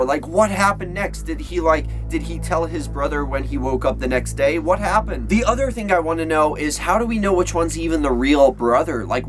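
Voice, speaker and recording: A man hosting a video in an animated, informal, slangy way, chatting upbeat to his viewers. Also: a little high. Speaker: video host and storyteller. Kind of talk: upbeat vlog chat to viewers.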